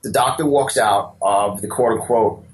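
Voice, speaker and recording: A man's voice: fairly low pitch, normal speed, low-quality recording. A man in his fifties with a New York accent, a business coach giving a guest interview in an emphatic, motivational way, repeating words and stammering a little. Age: 50s.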